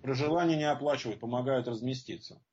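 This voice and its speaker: A man with a husky voice giving an informal, opinionated spoken answer as a guest speaker, explaining point by point. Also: medium pitch, fast, darkish tone, slight background noise. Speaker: guest speaker at support group seminars. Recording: dull lo-fi audio.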